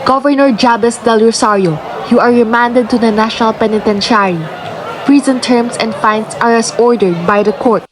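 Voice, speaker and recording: Woman acting a highish-voiced stern judge. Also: fairly even intonation, moderate pace, crowd noise, noisy background, close to an everyday voice. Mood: stern, formal. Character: stern, formal female judge. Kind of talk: Filipino radio drama acting.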